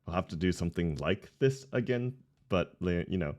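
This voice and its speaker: A man's voice: deep voice, lively intonation, normal speed, a little mellow. A man hosting a game stream and chatting casually, informal and effusive with his thanks and enthusiasm. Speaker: stream host and player.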